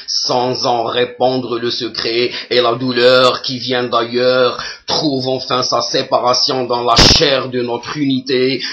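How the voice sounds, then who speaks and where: Slow, even-toned man reciting poetry. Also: medium pitch, dull audio. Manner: measured, deliberate, with long pauses between phrases.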